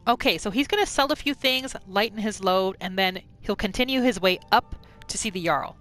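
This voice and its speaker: A woman's voice: medium pitch, brisk, fairly bright tone, faint music behind. A woman gaming host casually narrating her roleplay playthrough in the third person, as a voice-over.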